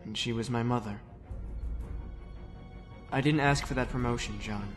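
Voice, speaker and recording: A man voicing an earnest young soldier leader who speaks calmly and conciliatorily. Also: medium pitch, moderate pace, music in the background, lightly acted. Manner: calm, conciliatory.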